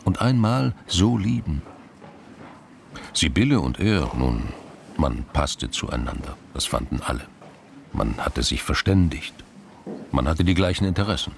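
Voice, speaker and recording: Radio play narrator guy, deep n creaky, thoughtful measured storytelling, bit of background noise. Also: sing-song, exaggerated voice. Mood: pensive. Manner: measured, pensive. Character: reflective third-person storyteller of a crime story. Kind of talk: scripted narration in a radio drama.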